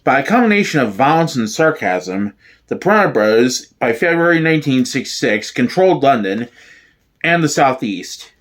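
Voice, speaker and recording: A man performing a dramatic solo reading of a comedy sketch, theatrical and given to deliberate pauses. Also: medium pitch, big pitch swings.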